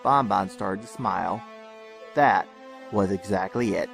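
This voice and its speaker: A man's voice: low-pitched, a little slow, gravelly, fairly bright tone, faint music behind. A man narrating expressively as the storyteller and host of a fan fiction audio channel.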